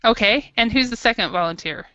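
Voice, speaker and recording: A woman's voice: fairly high pitch, a little fast, muffled recording, noisy background. A woman teaching a small talk lesson to an adult class, thinking aloud with frequent restarts. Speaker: English teacher.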